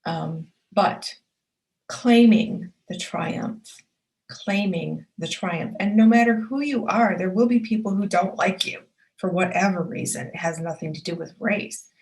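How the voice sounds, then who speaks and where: A woman's voice: fairly low pitch, a little slow, darkish tone, rough recording. A woman hosting her own show and giving a motivational talk. She speaks earnestly and emphatically, stacking short repeated affirmations and addressing the listener directly.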